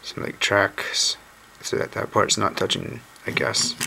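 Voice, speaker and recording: Hoarse male vlogger, very low gravelly voice, relaxed and unsure, home camera audio with a noisy background. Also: a little slow. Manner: relaxed, thinking aloud with mild uncertainty. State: hoarse, recovering from lost voice. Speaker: cube channel host. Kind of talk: casual unboxing commentary. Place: indoors at a wooden table. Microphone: camera mic on a tabletop shot of hands.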